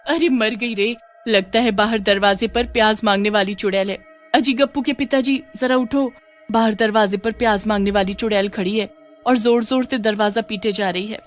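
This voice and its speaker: A woman's voice: mid-range voice, normal speed, soft background music, close to an everyday voice. A woman playing a rustic, easily alarmed wife with an in a folktale. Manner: exclaiming, animated. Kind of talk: dramatized Hindi horror folktale.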